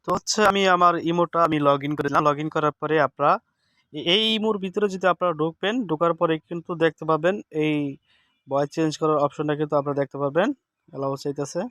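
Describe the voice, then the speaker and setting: A man talks through a casual how-to in a fairly high, very smooth voice. He is instructive and often repeats each step. The sound is dry and close, with little room echo. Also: fairly even intonation, fast, a bit bright. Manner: instructive, repeats steps and seeks confirmation. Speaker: tech tips host. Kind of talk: casual screen-recording tutorial.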